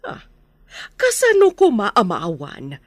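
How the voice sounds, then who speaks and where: Actress as bullying rich matron, Filipino accent, wildly animated pitch, dry sound, faint music. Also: female voice, slow pace, dry recording, exaggerated voice. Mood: haughty, scornful. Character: arrogant, scornful wealthy lady bullying a poor mother.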